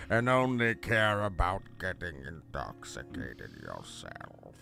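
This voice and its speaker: Stern, imperious corporate patriarch, played by a man, deliberate pace, bright forceful tone, radio comedy with music underneath. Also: sits low, wildly swooping pitch, creaky, pitched below the performer's own voice, full character voice. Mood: disapproving. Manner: pompous, clipped formal delivery. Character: stern, irritated wealthy textile company boss and father.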